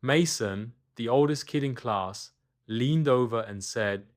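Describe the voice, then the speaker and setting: A man's voice: mid-range voice, takes it slowly. A man reading a scripted children's bedtime story as the storyteller.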